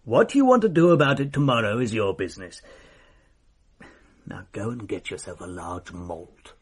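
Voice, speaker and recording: A gruff police boss, lowish husky voice, bossy. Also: male voice, animated, normal speed. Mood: firm. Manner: brusque, commanding. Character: firm senior police superintendent, gruff. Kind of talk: radio drama acting.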